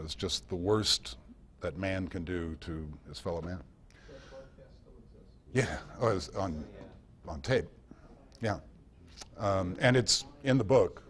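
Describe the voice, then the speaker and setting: A man giving an informal history talk at a club meeting, rambling conversationally through anecdotes with wry asides. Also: deep voice.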